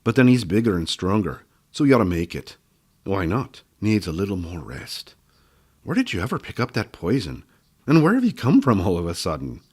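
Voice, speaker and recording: A man voicing a cranky old female gnome healer who scolds and bosses in a sharp, snappish way. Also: deep voice, wildly swooping pitch, normal speed, slightly rough, exaggerated voice. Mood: brusque.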